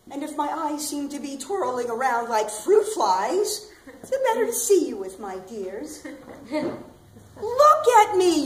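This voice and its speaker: A woman acting as an eccentric, loud-voiced bag lady on a small theater stage, talking brashly and rambling straight at her listeners. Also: high-pitched, heavily acted. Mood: taunting. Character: eccentric loud middle-aged bag lady waiting for aliens. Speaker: stage actor. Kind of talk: theatrical comic monologue. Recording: echoey hall, faint ambient noise.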